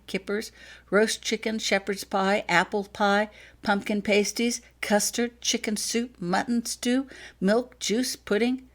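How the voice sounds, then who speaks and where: A woman reading her own story aloud as an expressive scripted narration. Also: medium pitch. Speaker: author reading own fan fiction.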